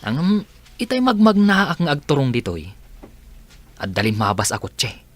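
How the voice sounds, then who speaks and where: Man acting a puzzled, lively village working man. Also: medium pitch, moderate pace. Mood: insistent. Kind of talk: Ilocano comedy radio drama acting.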